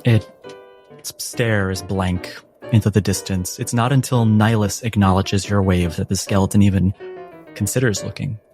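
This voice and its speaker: A man narrating a tabletop game as game master, with deliberate, dramatic phrasing and atmospheric pauses. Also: lowish voice, steady tone, darkish tone, soft background music.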